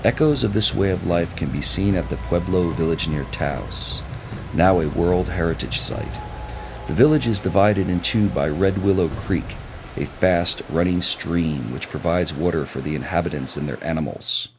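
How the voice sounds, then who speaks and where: A man reading scripted travel documentary narration in a measured, even way, recorded as a studio voice-over. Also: sits low, low-quality recording. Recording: narration over loud music.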